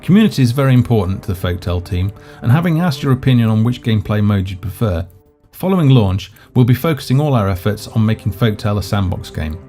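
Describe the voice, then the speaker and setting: Male narrator for a game walkthrough: lowish, relaxed voice, measured scripted read. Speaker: game presenter. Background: background music.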